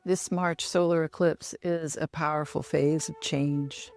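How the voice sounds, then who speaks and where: Middle aged woman doing guided meditation, really slow and soothing, some gravel in her voice, music behind. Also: low-pitched, fairly even intonation, darkish tone. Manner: soothing, measured, with long pauses. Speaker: yoga nidra meditation guide.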